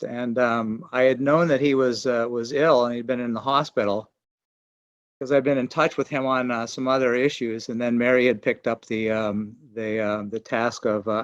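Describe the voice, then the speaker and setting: A man's voice: mid-range voice, dry recording. Older guy in his 60s talking over a muffled call, dry sound, keeps stopping and restarting. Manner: halting, searching for words with many restarts. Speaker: archaeology and geography professor giving a memorial tribute. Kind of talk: personal memorial remarks. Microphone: remote video call. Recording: dull lo-fi call audio.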